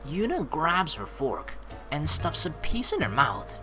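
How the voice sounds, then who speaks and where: Female let's play host, low voice, lively intonation, chatty reading and reacting over game music. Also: measured pace. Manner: playful, chatty, reacts to the story. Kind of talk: game playthrough, reading narration aloud with casual commentary.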